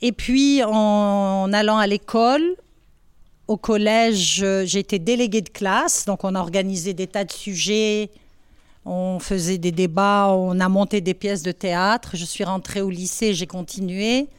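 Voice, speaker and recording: A woman in her sixties from Algeria, answering schoolchildren's questions in a classroom, thoughtful and halting with many pauses. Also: mid-range voice, smooth, no room sound, studio quality. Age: 60s. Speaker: women's rights activist answering pupils' questions.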